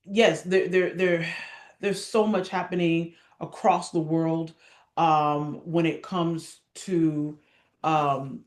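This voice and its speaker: A woman's voice: low-pitched, fairly even intonation, slow pace, echoey room. A woman being interviewed, speaking conversationally but with conviction, piling up examples and checking her points with rhetorical tags. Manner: emphatic, builds lists, tags points with "right?". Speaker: stage director and actress being interviewed. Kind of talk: impassioned conversational interview talk.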